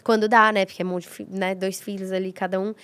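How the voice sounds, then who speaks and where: A woman guest chatting casually and telling stories in a hoarse voice. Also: medium pitch, flat delivery, fast talker, fairly bright tone, no room sound. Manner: chatty, storytelling with quoted voices and laughter. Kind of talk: casual chat.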